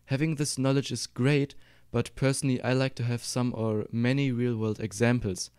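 A man's voice: mid-range voice, fairly even intonation, normal speed, darkish tone, clear, resonant tone, no room sound, studio quality. A young adult man from Germany with a German accent, explaining a 3D modeling tutorial in an upbeat, instructive way. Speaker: Blender tutorial YouTuber. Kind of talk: screen-recorded tutorial voice-over.

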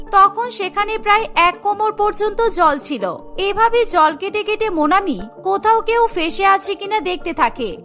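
A woman's voice: very high-pitched, sharp tone. A woman reading scripted narration for a cartoon story.

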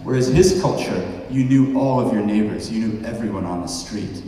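A man's voice: on the low side, normal speed, mellow. A man in his forties from New Zealand, giving a conversational conference talk and asking the audience questions. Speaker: podcast host giving a talk. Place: large hall with a stage. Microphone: handheld mic, heard from far off. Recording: echoey hall from far off.

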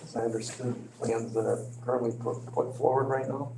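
A man's voice: medium pitch, steady tone. Hesitant man with slightly crackly voice, echoey room. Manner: hesitant, halting, hedging. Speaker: village official presenting to a board. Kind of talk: informal meeting briefing. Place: indoor village board meeting room. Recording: echoey meeting room, clear hum.

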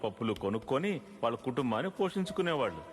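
A man's voice: medium pitch, sing-song, rapid-fire, lightly acted. A man playing a patient, worldly father who tells and explains a moral bedtime story in a cartoon. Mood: calm storytelling. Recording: long reverb, music behind.

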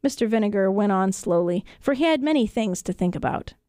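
A woman storyteller reading children's tales in an expressive audiobook narration style. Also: mid-range voice, fairly quick, dry recording, studio quality. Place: radio studio.

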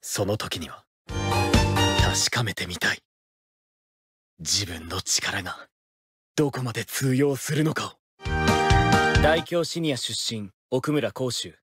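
A man voicing a blunt, cool, proud teenage athlete, curt and deadpan, in anime game clips. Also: medium pitch, slow pace, long pauses, close to an everyday voice. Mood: cool, resolute. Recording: loud game music underneath.

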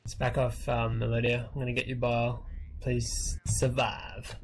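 A man's voice: medium pitch, completely flat delivery, normal speed, a little hiss or hum. A man talking casually with teammates in gaming voice chat as he calls strategy. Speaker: team player calling strategy. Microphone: gaming voice chat microphone.

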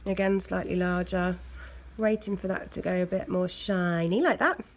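A woman with an English accent, hoarse from a cold, chatting casually as she talks through a nail art tutorial. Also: on the low side, fairly even intonation, moderate pace. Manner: chatty, loose, lots of fillers. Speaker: nail technician. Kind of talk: step-by-step tutorial narration.

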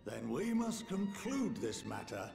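An actor playing an elderly academy head in a game, wry, formal and eloquent, impatient but fair-minded. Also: male voice, mid-range voice, big pitch swings, measured pace, vocal fry, darkish tone, heavily acted. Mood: measured, persuasive. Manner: formal, eloquent, dryly exasperated. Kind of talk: video game cutscene acting. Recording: echoey hall reverb, music behind.

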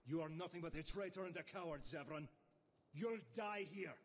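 An actor playing a haughty, threatening assassin boss, a villain in a video game. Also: male voice, fairly high pitch, normal speed, background noise, close to an everyday voice. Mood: angry threat. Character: menacing, contemptuous male assassin boss.

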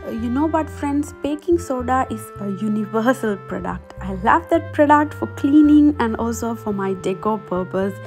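A woman in her thirties talks through a home craft project in a friendly, chatty way, pausing on filler words. Her voice sits a little high. Clear background music runs under the whole recording. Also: expressive. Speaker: DIY vlogger. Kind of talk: casual vlog narration. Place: home, indoors, with a toddler on her lap. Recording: music playing behind her voice.

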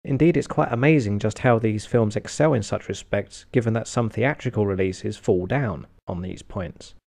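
A British man giving a scripted review narration in a deadpan, sardonic, sweary manner. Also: lowish voice, normal speed, vocal fry. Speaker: film reviewer.

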